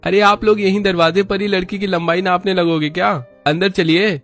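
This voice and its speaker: A man's voice: high-pitched, level tone, a little fast, a bit bright, soft background music. A man voicing a stubborn, eager young man in love in a family cartoon, with an emphatic, pleading manner. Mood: insistent. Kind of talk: Hindi family cartoon dubbing.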